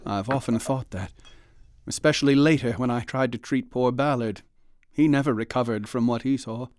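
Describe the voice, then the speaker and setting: An actor playing an earnest, haunted ship's doctor in a radio drama, speaking in a formal, old-fashioned manner. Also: male voice, mid-range voice, moderate pace, a little mellow, no echo, clean studio sound, natural voice. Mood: shaken, subdued. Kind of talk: radio drama acting and narration.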